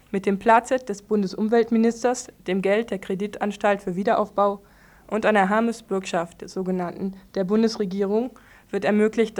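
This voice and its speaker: A woman's voice: medium pitch, darkish tone. A woman reading short news reports as a radio presenter, in a scripted news style.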